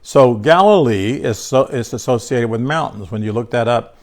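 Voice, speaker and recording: A man's voice: mid-range voice, moderate pace, a little mellow. Congested older man teaching scripture. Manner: conversational, repeats and restarts words, chuckles, talks to listeners by name. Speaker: bible teacher. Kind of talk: informal bible teaching to a small group.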